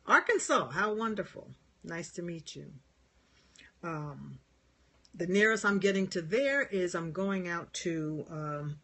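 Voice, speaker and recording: A husky, slightly breathy voice with a New York area accent, talking into a phone on a live stream. The speaker is a spiritual healer who wanders gently from thought to thought, answering viewers' comments. Laughter comes easily between points. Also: medium pitch. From New York and New Jersey. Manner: meandering, gentle, laughs often. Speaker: spiritual healer and Reiki teacher.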